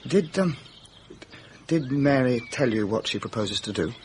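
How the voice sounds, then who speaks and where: A man's voice: mid-range voice, expressive, unhurried, slight background noise, close to an everyday voice. An actor playing a lovesick, confused young man in a British radio mystery drama, emotional and hesitant. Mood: contrite, hesitant.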